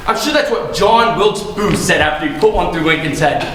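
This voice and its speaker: A male actor in a school stage comedy playing a shady, flustered, smooth-talking therapist with a glib, sarcastic manner. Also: on the high side, lively intonation, a little fast, crowd noise, lightly acted. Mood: sardonic. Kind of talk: live amateur stage comedy acting. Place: school stage, recorded from the audience. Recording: echoey hall, distant mic, noisy audience room.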